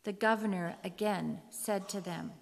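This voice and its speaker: A woman narrating scripture from a script for reader's theater, in a measured, solemn manner. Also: medium pitch, steady tone, creaky. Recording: long hall reverb.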